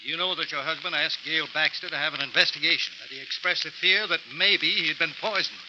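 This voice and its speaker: An actor in a 1940s radio drama playing a firm, dryly suspicious lawyer with a measured, sarcastic edge. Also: male voice, on the high side, close to an everyday voice. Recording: muffled, crackly 1940s radio recording.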